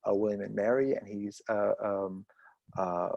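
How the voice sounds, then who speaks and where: A man with a gravelly, fry-laced voice moderates a conversation. His tone is dark and mellow, and the sound is dry and close. He rambles enthusiastically through many "uh"s and "um"s. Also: fairly low pitch, steady tone, unhurried, no room sound, dull audio. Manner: rambling, filler-heavy, enthusiastic. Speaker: host and moderator. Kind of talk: moderating a literary conversation over video call.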